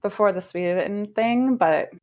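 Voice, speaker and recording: A woman in her thirties, a real estate agent from the United States, chatting relaxed in an interview with light laughs and fillers. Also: mid-range voice, unhurried. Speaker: realtor guest. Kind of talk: casual interview chat.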